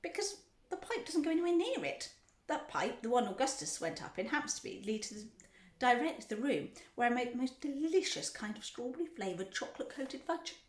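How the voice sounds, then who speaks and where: A woman voicing an eccentric, excitable man in a storybook, breezily dismissive and emphatic. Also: on the high side, a little fast, roomy sound, voice pushed up. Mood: breezy.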